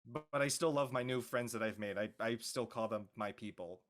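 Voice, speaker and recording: A man's voice: mid-range voice, fairly quick. A man from Canada chatting casually on a panel, earnest and emphatic, stumbling slightly over his words. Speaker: panelist in an online discussion. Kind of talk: casual chat.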